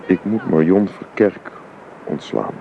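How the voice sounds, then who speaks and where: An actor playing a grave, troubled father in a radio drama, speaking in a halting, solemn manner. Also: low-pitched, sing-song, vocal fry, heavily acted. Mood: regretful, firm. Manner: halting, solemn, repeats himself. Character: grave, troubled widowed father dismissing his housekeeper. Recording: dull, noisy old radio recording.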